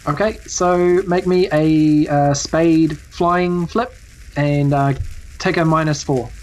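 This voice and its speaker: A woman with a low voice and a New Zealand accent runs a tabletop game. Her narration is casual and improvised, with halting searches for the next phrase. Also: fairly even intonation, darkish tone, low-quality recording. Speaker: game master.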